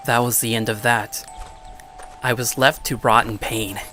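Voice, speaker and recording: A man's voice: medium pitch, level tone, unhurried, sharp tone, soft background music. A man playing a bitter, downtrodden teenage schoolboy who narrates his own story in a dramatic first-person style. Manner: brooding, self-pitying.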